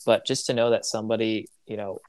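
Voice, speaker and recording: A man's voice: lowish voice, little pitch movement, fast, darkish tone, no room sound. A young man who grew up in Tanzania, hosting a casual podcast chat, rambling and acting out little conversations. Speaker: podcast host.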